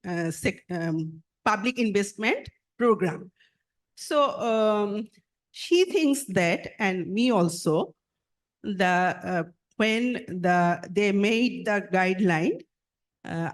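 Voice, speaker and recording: Bangladeshi lady official asking a question at a conference, slow and halting, repeats herself. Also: mid-range voice. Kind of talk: formal question at a conference discussion.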